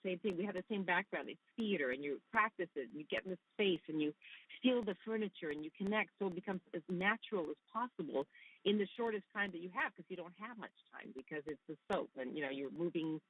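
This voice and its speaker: A woman's voice: on the low side, dry recording, dull audio. A woman from Canada whose first language is Hungarian, talking effusively as a guest actress in a casual interview.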